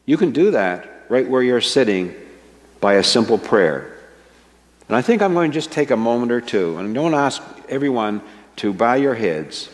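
An older man preaching a sermon from a church pulpit, slow and earnest, stressing his key words. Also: medium pitch, sing-song. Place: church sanctuary with a stage, indoors. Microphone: microphone at a wooden pulpit, fixed camera. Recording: long church reverb.

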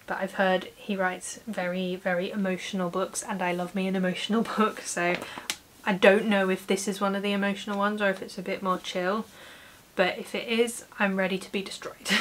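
A faint bed of hiss sits under a British woman's voice. She is in her late twenties and sits at a medium pitch. She talks informally to camera in a home room, like someone running a book channel. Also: a bit bright. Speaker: book vlogger. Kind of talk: casual chat to camera. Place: small home room with bookshelves. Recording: clear background hiss.

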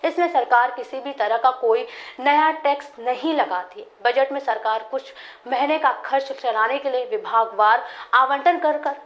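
A woman in her twenties reading a scripted news explainer as a presenter, in a formal, measured manner. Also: high-pitched, fairly bright tone, lo-fi sound.

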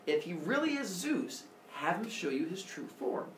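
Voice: medium pitch, big pitch swings, normal speed, echoey room, recorded from a distance, a little hiss or hum, close to an everyday voice. A storyteller playing a sly, scheming older goddess who is casually persuasive.

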